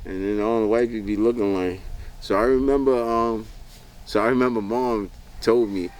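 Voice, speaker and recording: A farmer telling his life story in a relaxed, casual way, with a laugh now and then. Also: on the low side, normal speed, poor audio. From New Hampshire.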